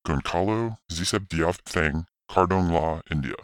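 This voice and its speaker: A man's voice: low voice, a little slow, dry recording. A man voicing a male call-center worker who earnestly reads out a scripted testimonial.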